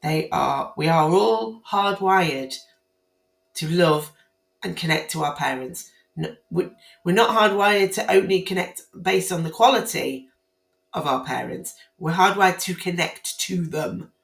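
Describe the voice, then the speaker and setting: A woman with a hoarse, croaky voice talks to camera in an indignant, emphatic way, circling back and restarting her phrases. Her tone sits a little low and gravelly. The room around her adds a noticeable echo. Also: unhurried. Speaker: family court commentator. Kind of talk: casual spoken commentary on guidance to camera. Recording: roomy echo.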